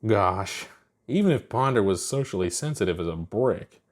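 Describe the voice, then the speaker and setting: A man narrating a scripted story in an expressive way. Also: on the low side, moderate pace.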